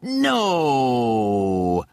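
A man voicing an uptight, panicky, whiny crewman in a comic science fiction audiobook, exclamatory and highly strung. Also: huge pitch swings, very slow, darkish tone, no room sound, studio quality, heavily acted. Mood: queasy, falsely sweet. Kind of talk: audiobook character acting.

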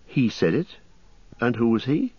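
A man voicing an earnest, impatient young male hobbit who talks eagerly, with a husky voice. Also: mid-range voice, sing-song, measured pace, warm tone, no room sound, dull audio, voice pushed up.

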